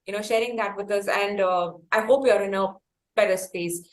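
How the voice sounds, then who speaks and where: Indian woman hosting an interview, effusive and halting. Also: medium pitch, steady tone, low-quality recording. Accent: Indian English. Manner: effusive, wordy, halting delivery with pauses. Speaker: podcast host interviewing musicians. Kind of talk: conversational interview.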